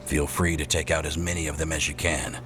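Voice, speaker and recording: Gruff, very deep forest commander. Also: male voice, flat delivery, fairly quick, background noise. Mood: grim, commanding. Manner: gruff, terse. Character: gruff, stern forest scout leader, grown man. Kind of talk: fantasy video game acting.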